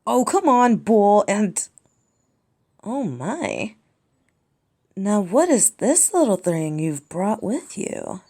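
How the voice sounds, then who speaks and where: A woman's voice: mid-range voice, big pitch swings, slow, long pauses, mellow, full character voice. A woman playing a haughty, mocking dragon princess, a destructive little sister, in an ASMR audio drama. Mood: taunting. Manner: sarcastic, drawling, taunting.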